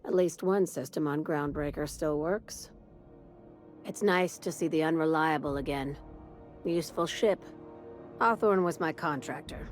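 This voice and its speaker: A woman's voice: low-pitched, unhurried, faint music behind. A woman voicing a confident, sardonic company executive in a video game, dry and businesslike.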